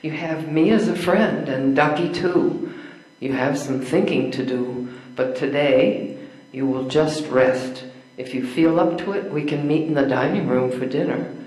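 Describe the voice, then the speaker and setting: An older woman reads a kind friend in a stage play. She sounds practical and reassuring. The voice carries clear room echo. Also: low-pitched, measured pace, darkish tone. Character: kind, steady female friend soothing someone. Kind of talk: amateur readers theater. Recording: echoey room.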